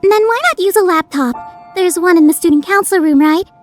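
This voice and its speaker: An excitable anime schoolgirl with an extremely high voice. She is proud and emphatic, with faint music underneath. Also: brisk. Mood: haughty, exasperated. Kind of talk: anime fandub radio play acting.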